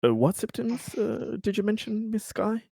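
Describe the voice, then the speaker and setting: A man's voice: high-pitched, big pitch swings, moderate pace, gravelly, mellow, dry recording, clean studio sound. A man playing a tabletop roleplaying game, speaking politely and formally in character.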